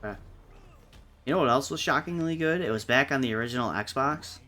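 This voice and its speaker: A man's voice: mid-range voice, brisk, bright, forceful tone. A man hosting a stream, chatting casually about games in an opinionated way with some swearing. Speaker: stream host. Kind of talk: casual gaming chat. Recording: music playing underneath. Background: music.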